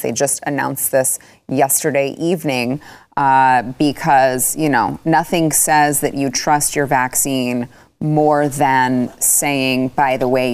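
A woman's voice: rumbling low, darkish tone. A woman hosting a political talk show, opinionated and sarcastic as she speaks. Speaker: host.

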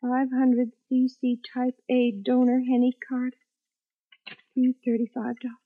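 An actress playing a weary, loving young wife, earnest and gently reproachful, in a 1950s radio drama. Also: female voice, high voice, monotone, a little slow. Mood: anxious, questioning. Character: long-suffering, loving young wife and mother, weary, patient. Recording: dull 1950s radio recording.

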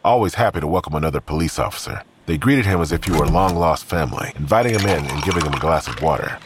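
A man's voice: very deep voice, expressive, quick pace. A man reading scripted narration as the narrator of an audio drama. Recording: clearly noisy background ambience.

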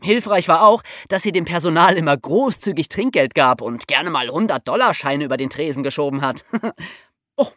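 Lively German woman telling a story. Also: low-pitched, normal speed, no room sound, studio quality. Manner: lively, laughs often, wry asides. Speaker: podcast host. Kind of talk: casual storytelling chat.

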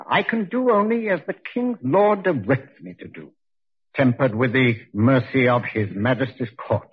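A stern, solemn court judge in a 1940s radio play. The voice is very husky and slightly high, with formal, oratorical phrasing. It comes through a dull vintage recording. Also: male voice.

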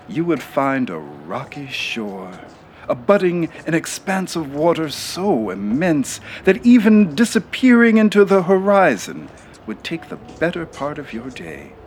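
An actor playing the grandiose, ominous narrator of a satirical sci-fi serial, speaking in a dramatic, deliberate way. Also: male voice, fairly high pitch, a little hiss or hum. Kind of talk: theatrical scripted narration.

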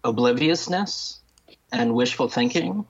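A man speaking as an author guest in an interview, halting and repeating words as he thinks, on slightly dull audio. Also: mid-range voice, expressive, gravelly, slightly rough. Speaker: guest, novelist and critic. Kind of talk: thoughtful interview discussion.

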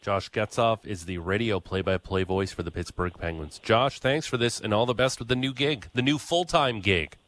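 A man's voice: on the low side, moderate pace, hardly any pauses, fairly bright tone. A man hosting a sports radio show, talking casually and with opinions, full of fillers and restarts, on a studio mic.

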